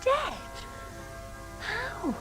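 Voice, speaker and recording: Tipsy wife of a crook: high, girlish voice, brittle and bitter, radio drama. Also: big pitch swings, very slow, sharp tone, soft background music, exaggerated voice. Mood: shocked. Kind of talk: radio crime drama dialogue.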